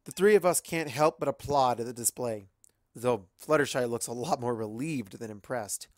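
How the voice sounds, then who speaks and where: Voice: medium pitch, normal speed, no echo, clean studio sound. A narrator reading a first-person story expressively. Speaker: fan fiction narrator.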